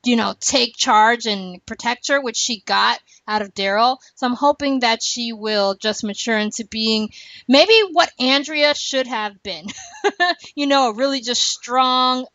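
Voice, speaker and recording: A woman chats casually as a podcast host, quick and animated, with frequent laughs. Her voice sits a little high. The recording is dull and lo-fi, with no room sound at all. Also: dry recording. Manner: chatty, laughs often, animated asides. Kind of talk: casual chat about a TV show. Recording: dull lo-fi, dry.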